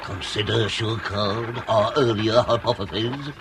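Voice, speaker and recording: An actor playing a shrewd, authoritative Victorian police inspector with a British accent and a formal, probing manner in a period radio drama. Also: male voice, on the low side, steady tone, measured pace, background noise. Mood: brooding, deductive.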